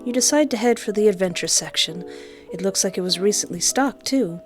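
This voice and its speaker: A woman reading scripted visual novel narration aloud in an even, storybook-like way, with music behind her. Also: medium pitch, brisk, natural voice. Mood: measured.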